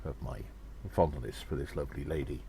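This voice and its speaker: A man playing a little gnome adventurer in an audio drama, confiding in his friends in a theatrical, halting way. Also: rumbling low, gravelly, dark tone, a little hiss or hum. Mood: fond, wry. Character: little male gnome adventurer, conspiratorial storyteller addressing friends. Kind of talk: scripted audio drama monologue with dialogue.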